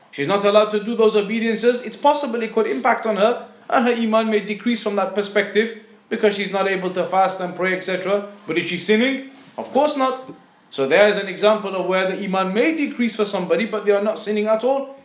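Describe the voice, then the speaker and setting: A man with a high-pitched voice gives an explanatory religious lesson in an echoey room. He speaks off the cuff in a didactic way, restating key phrases to drive them home. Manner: didactic, repeats phrases for emphasis. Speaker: religious teacher.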